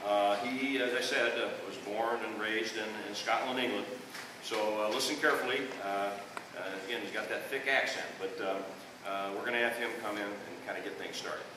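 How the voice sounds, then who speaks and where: A man's voice: fairly low pitch, fairly even intonation, normal speed, background noise. An older man with a Midwestern accent giving a folksy classroom history talk, often checking in with "okay?", recorded far off in a school room. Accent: Midwestern American. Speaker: re-enactor and teacher. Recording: echoey room from a distance.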